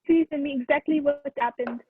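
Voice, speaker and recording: A woman's voice: high voice, brisk, close to an everyday voice. A woman playing a formal, probing French police prefect in a radio play, courteous and methodical in questioning. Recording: thin phone-like sound.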